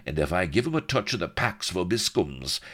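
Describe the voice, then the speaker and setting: A man's voice: deep voice, big pitch swings, brisk, a bit bright, pitched above the performer's own voice, exaggerated voice. A man voicing a roguish, smirking Irish adventurer with a wry, wheedling manner in a comic story. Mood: sardonic scheming.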